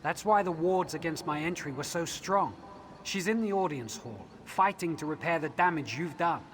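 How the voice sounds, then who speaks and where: An actor playing an earnest elf leader in a video game, giving measured, solemn instructions with urgency. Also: male voice, a little high, expressive, a bit bright, a little hiss or hum. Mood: grave, urgent. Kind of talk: video game quest dialogue.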